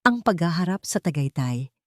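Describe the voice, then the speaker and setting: Low-voiced Filipino woman narrating drama. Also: very animated, normal speed, no room sound, clean studio sound. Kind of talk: dramatic scripted first-person story narration.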